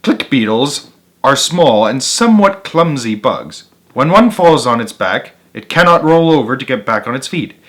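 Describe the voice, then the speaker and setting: Bright, animated man reading a storybook. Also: mid-range voice, normal speed. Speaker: storyteller, channel host. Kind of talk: animated children's read-aloud narration.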